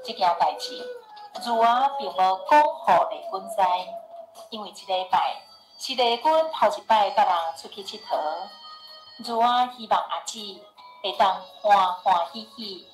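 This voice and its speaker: A woman's voice: mid-range voice, expressive, moderate pace, roomy sound, faint music behind, lightly acted, rough recording. A woman with a Taiwanese accent narrating a scripted radio drama as its storyteller. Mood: storytelling.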